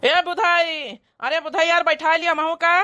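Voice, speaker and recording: A woman's voice: extremely high pitch, fairly even intonation, a little slow, sharp tone, exaggerated voice. A woman voicing a casual village man with an Awadhi accent in a comedy cartoon. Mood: casual pleading.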